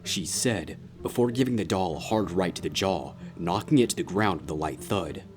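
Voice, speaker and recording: A man reading scripted fan fiction as a dramatic story narrator, with a husky voice. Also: low voice, lively intonation, moderate pace, faint music behind.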